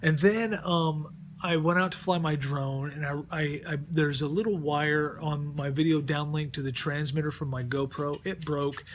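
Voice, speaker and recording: A man's voice: a little high, steady tone, normal speed, slight background noise. A middle-aged man talking casually at home for his vlog, rambling and often repeating words as he restarts sentences. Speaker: vlogger. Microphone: close mic.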